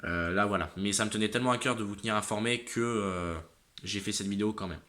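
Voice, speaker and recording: A young man in his late teens talking to viewers in a casual vlog. He is exhausted and a little stuffed up from a cold, so his speech is halting, often trailing off and starting over. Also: lowish voice, a bit bright. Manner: halting, trailing off and restarting sentences. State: exhausted and slightly congested. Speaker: motorcycle channel host.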